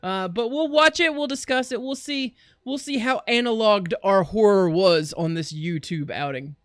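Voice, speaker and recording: A man's voice: high voice, normal speed, dry recording, studio quality. A man hosting a reaction livestream with casual, jokey, rambling commentary that often interrupts itself. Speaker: livestream reaction show host.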